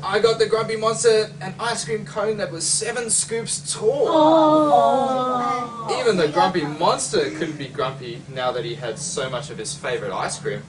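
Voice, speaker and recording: A young man from Australia reading a children's picture book aloud, expressively, with dramatic pauses and exclamations. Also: sits high, a crowd in the background. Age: young adult. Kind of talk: animated children's storybook reading. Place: library, among bookshelves. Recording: distant mic, noisy room ambience.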